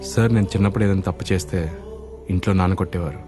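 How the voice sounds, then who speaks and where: A man's voice: low voice, steady tone, racing, lightly acted. An actor playing a resolute young male kidnapper with ideals in film dialogue, speaking in a firm, articulate way over music. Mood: earnest, pleading. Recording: film soundtrack with background music. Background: film score music.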